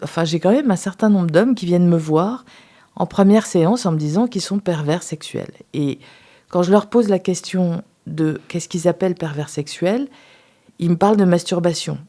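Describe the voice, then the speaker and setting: A woman who is a sex therapist, a guest on a radio talk show, speaking in a measured, thoughtful way with some hesitation. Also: fairly low pitch, mellow. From France.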